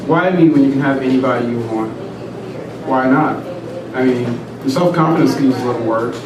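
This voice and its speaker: A man reading his own first-person prose aloud at a live reading, in a quiet, measured way. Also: mid-range voice. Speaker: student reader. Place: large room at a live reading. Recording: echoey room, far from the mic, noisy.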